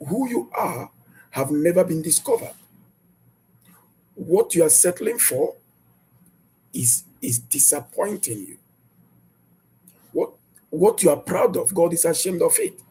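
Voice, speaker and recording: A man preaching a sermon emphatically, repeating rhetorical questions and jumping into sudden high bursts of emphasis. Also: expressive, slow pace, pauses often, warm tone, slightly rough, rough recording.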